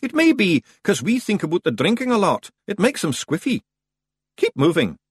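A man voicing a small, wild male fairy warrior chieftain with a Scottish accent, loud and hearty. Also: sits high, wildly swooping pitch, normal speed, husky, no room sound, clean studio sound, voice pushed up, full character voice. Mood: boisterous.

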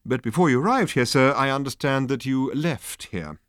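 A man's voice: medium pitch, moderate pace, clear, resonant tone, no room sound, studio quality, pitched above the performer's own voice, close to an everyday voice. Man voicing polite, dogged little alien waiter. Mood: patiently insistent. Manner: formal, deferential, hesitant, persistent. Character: small green male alien waiter, politely dogged, long-suffering.

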